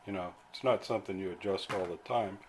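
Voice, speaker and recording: A man giving a casual, off-the-cuff show-and-tell as a radio hobbyist, pausing mid-phrase as he goes. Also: sits low. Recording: clearly noisy homemade video audio.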